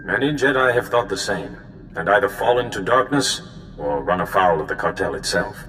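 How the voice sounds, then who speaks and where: A man playing a solemn, worried Jedi mentor in a video game, speaking in measured explanations. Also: sits low, lively intonation, bright, forceful tone, soft background music. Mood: grave. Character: grave, worried male Jedi mentor giving orders. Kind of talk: cinematic video game dialogue. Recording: hall reverb, faint background noise.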